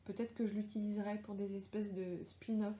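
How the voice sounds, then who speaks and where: A woman's voice: medium pitch, level tone, brisk, dark tone, roomy sound. A middle-aged woman with a strong French accent, chatting casually with readers in a live session from her home, with many fillers and restarts. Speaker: author answering readers live. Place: home, lives in Australia.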